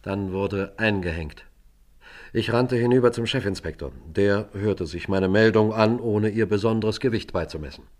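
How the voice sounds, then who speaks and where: A man's voice: on the low side, moderate pace, close to an everyday voice. A man playing a composed police sergeant who narrates a radio crime drama in a precise, formal manner. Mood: recounting, composed. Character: composed police sergeant recounting an old case.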